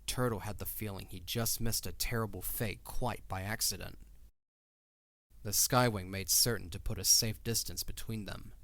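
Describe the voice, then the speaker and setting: A man's voice: fairly low pitch, fairly even intonation, normal speed, fairly bright tone, dry recording. A man reading scripted narration for a fantasy audio drama.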